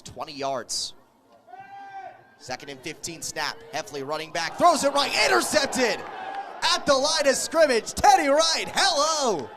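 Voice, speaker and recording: A man doing live American football play-by-play as a radio announcer, following the action in clipped, vivid phrases. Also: fairly high pitch. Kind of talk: live sports play-by-play commentary.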